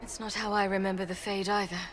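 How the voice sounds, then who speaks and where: A woman's voice: medium pitch, little pitch movement, fast talker, slight background noise, close to an everyday voice. A woman playing an alert, pragmatic adventurer champion in a fantasy video game, questioning and direct. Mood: wary, questioning. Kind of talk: dramatic video game acting.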